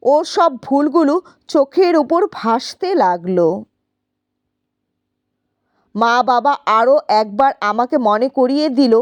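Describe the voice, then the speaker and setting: A woman's voice: high-pitched, animated, slow, pauses often, dry recording. A woman narrating a scripted first-person story in a dramatic, reflective manner.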